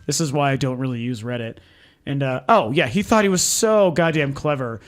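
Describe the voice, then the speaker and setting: A man hosting a video game vlog, hoarse from losing his voice, talking in a candid, frustrated way and swearing freely. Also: mid-range voice, brisk, soft background music. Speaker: video game channel host. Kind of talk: casual ranting vlog. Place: lives in Denver.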